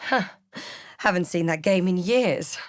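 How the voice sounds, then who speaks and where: Brooding female fantasy game adventurer, played by a woman: slightly low, unhurried, dryly witty, theatrical delivery, very dry studio sound. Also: no echo, lightly acted. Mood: wry, snarky. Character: female adventurer hero, snarky, brooding, spell-casting. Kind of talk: fantasy video game voice lines, narration and barks.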